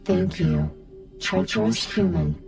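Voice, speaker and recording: A woman voicing a solemn, cryptic guardian spirit king in a video game, with a creaky, gravelly voice and a grandiose manner. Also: fairly low pitch, a little mellow, distant mic, full character voice. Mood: solemn, weary. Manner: slow, grandiose, pronouncing. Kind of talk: scripted video game dialogue. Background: music.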